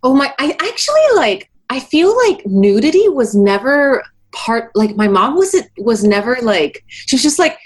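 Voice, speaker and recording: A woman's voice: sits high, big pitch swings, measured pace, few pauses, husky. A young woman from Taiwan, a musician guest in her twenties, talking casually in an interview with many fillers and frequent laughs.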